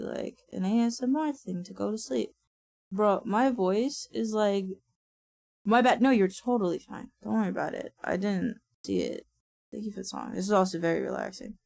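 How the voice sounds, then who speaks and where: A woman streaming from her desk, chatting casually. She sounds tired and a little raspy, swears freely and laughs often. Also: mid-range voice, darkish tone, no room sound, studio quality. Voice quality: raspy when sleepy. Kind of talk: casual livestream chat.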